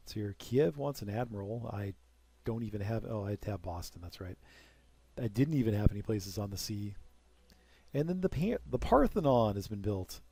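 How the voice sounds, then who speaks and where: A man's voice: on the low side, animated, normal speed, vocal fry, mellow, husky, dry recording. A young man casually commenting while playing a strategy game, rambling with self-deprecating jokes and asides. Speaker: let's play commentator.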